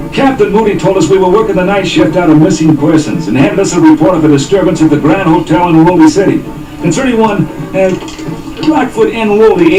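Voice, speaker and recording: An actor playing an earnest police detective who reads out numbered facts like an official report, in a parody of old police radio drama. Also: male voice, sits high, recorded from a distance. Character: earnest, eager police detective reporting to his senior partner. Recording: roomy cassette copy with music behind.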